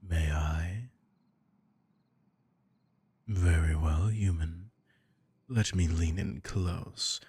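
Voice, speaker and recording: A man voicing a predatory, dominant vampire lord in an ASMR audio roleplay, taunting slowly and often whispering close to the microphone. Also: darkish tone, no echo, heavily acted. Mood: hungry. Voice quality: deep, gravelly, breathy.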